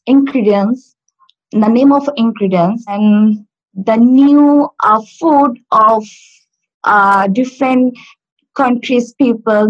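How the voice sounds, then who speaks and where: A woman from Bangladesh whose first language is Bangla, talking in a halting way with many fillers and restarts as a learner on a video call. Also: a little high, muffled recording. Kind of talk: casual video-call interview. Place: home in Stockport.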